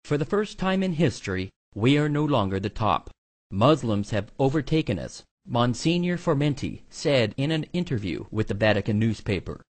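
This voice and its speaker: Male news narrator, mid-pitched, slightly rough and husky, for scripted report copy. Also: big pitch swings, normal speed.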